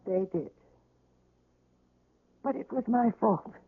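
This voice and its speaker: An actress playing a weary, despairing wife recovering from surgery, mournful and yearning, in a radio drama. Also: female voice, fairly high pitch, lively intonation, slow, breathy edge, narrow, tinny audio, exaggerated voice. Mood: anguished, pleading. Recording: roomy, band-limited old radio recording.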